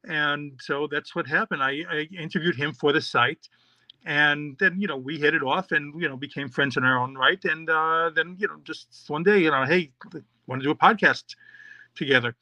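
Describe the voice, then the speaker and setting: A middle-aged man with a bright, forceful voice pitched a little high talks casually, rambling through his answers with lots of fillers and restarts. The sound is dry and close, with no room to it. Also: moderate pace, no room sound, low-quality recording. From New York. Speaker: podcast host and children's author.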